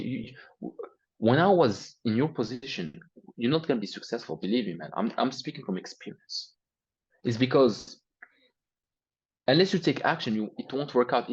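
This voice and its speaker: Young Arabic-accented man, interview guest, blunt and profane, husky and slightly muffled. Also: medium pitch, darkish tone. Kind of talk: interview, motivational rant.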